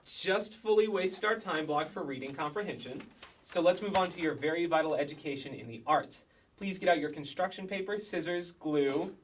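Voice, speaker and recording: A man's voice: on the high side, moderate pace, slight background noise, close to an everyday voice. Scolding, exasperated kindergarten teacher, male actor, formal and dry, staged comedy reading, mic at a distance. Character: exasperated, strict kindergarten teacher, Miss Della.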